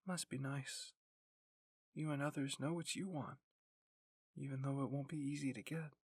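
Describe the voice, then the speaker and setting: A man voicing a sad, quietly bitter young woman soldier in an anime fan fiction roleplay, subdued and downcast. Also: mid-range voice, steady tone, normal speed, breathy edge, no echo, studio quality.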